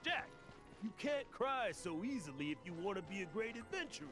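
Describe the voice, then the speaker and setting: A boastful, melodramatic middle-aged adventurer from a video game. He declaims grandly with an animated, widely swinging pitch, over loud music. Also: fairly quick. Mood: solemn. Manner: theatrical, grandiose. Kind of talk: video game character acting.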